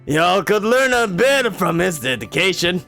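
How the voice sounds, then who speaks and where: A man's voice: high-pitched, big pitch swings, bright, forceful tone, faint music behind, voice pushed up, full character voice. A man doing a bellowing jovial mercenary dad. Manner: bellowing, jovial, drawn-out exclamations. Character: boisterous burly father, loud mercenary boss.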